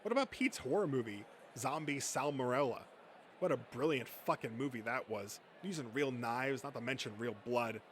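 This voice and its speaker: A man voicing a rowdy, crude nerd full of gleeful bravado, animated and foul-mouthed, in a comic dub with slight noise. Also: medium pitch, moderate pace, close to an everyday voice. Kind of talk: comic dub character acting.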